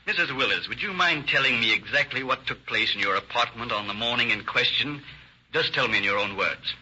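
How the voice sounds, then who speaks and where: A man's voice: medium pitch, brisk, pushed and bright, slightly rough. An actor playing a stern prosecutor, formal and accusatory as he cross-examines a witness in an old radio drama. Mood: formal, probing. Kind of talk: 1940s radio acting. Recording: muffled, hissy old radio recording.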